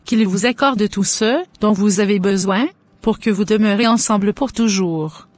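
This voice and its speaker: A woman playing a pious, joyful middle-aged mother in an animated religious story, speaking in solemn, formal blessings. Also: mid-range voice, moderate pace, lightly acted. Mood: reverent, blessing.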